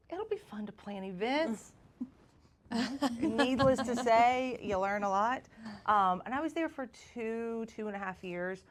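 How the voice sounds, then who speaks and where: A woman, chatting as a podcast guest and telling her story with self-deprecating humor. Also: medium pitch. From South Georgia, US. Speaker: chamber of commerce president, guest. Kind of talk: casual podcast conversation.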